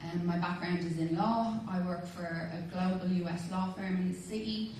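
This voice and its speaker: Echoey, low-pitched Dublin woman giving a speech. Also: fairly even intonation, moderate pace, a little mellow. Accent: Dublin Irish. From Dublin, Ireland. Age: 30s. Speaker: independent election candidate. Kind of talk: informal campaign speech. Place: large community hall with audience. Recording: echoey hall, distant mic, faint noise.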